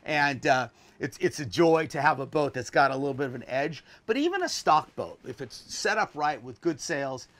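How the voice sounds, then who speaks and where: A man's voice: a little high, sing-song, normal speed, hardly any pauses, a bit bright. A man in his sixties giving a casual, offhand, opinionated tour as a video host, recorded inside a small boat cabin.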